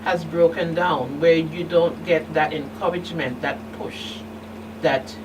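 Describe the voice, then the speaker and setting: A middle-aged woman giving candid personal testimony as an interview guest, speaking haltingly with emphatic turns. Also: low-pitched, steady tone. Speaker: guest, survivor and advocate. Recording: distant mic, noisy room hum.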